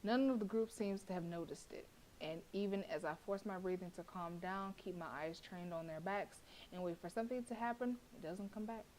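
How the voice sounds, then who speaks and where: A woman live-streaming a horror visual novel, narrating its lines with expressive feeling. She breaks off to react, laugh and curse at what happens on screen. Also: mid-range voice, brisk, slight background noise, low-quality recording.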